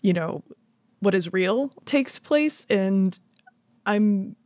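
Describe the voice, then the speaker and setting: A woman's voice: medium pitch, lively intonation, extremely slow, darkish tone, no room sound. A woman hosting an interview in a conversational way, commenting and putting questions to her guest. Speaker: podcast interviewer, host.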